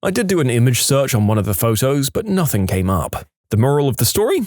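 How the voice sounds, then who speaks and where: A man's voice: medium pitch, sing-song, fast talker, darkish tone, no echo, clean studio sound. A man narrating read-aloud online stories in a scripted storytime style, as the host of a channel.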